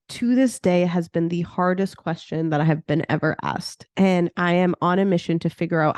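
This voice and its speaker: A woman's voice: on the low side, a little mellow, no room sound, clean studio sound. A woman in her thirties hosting her own podcast in a chatty, casual way, peppering her talk with "like" and laughing at herself. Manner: chatty, laughs often, fillers like "like". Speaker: podcast host.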